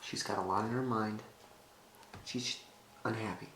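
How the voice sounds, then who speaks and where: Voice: medium pitch, fairly even intonation, measured pace, close to an everyday voice. An actor playing an irreverent, blunt joker who swears casually and teases in a naturalistic drama. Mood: consoling. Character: irreverent, mocking joker, easily spooked and blunt. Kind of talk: naturalistic film drama acting. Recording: echoey room with clear background noise.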